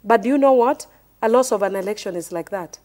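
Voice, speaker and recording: A Kenyan woman with a middle-pitched voice speaks on a political panel. She argues with passion and insistence, hammering the same point again and again. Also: quick pace, darkish tone. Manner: impassioned, insistent, repeats her point. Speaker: member of parliament, panel guest. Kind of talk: heated panel debate.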